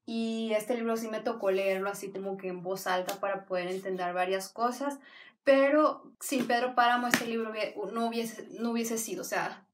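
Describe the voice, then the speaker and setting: A woman's voice: on the high side, distant mic. Chatty woman recommending books. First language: Spanish. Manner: enthusiastic, rambling with frequent restarts. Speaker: book vlogger. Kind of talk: casual book review talk.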